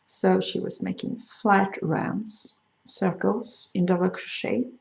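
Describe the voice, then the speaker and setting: A middle-aged woman with a Swedish accent, a crochet designer talking casually to camera and explaining things with frequent pauses and fillers. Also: mid-range voice, steady tone, gravelly, rough recording. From Sweden. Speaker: crochet designer hosting a video podcast. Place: home in France.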